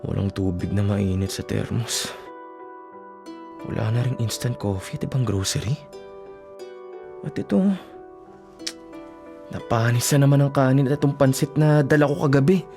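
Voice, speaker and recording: An actor playing a devoted, self-sacrificing young working man in a Filipino radio drama, speaking gently and earnestly with a Filipino accent. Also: medium pitch, normal speed, gravelly, pauses often, over music, close to an everyday voice. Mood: weary, frustrated. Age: young adult. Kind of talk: Tagalog radio drama acting.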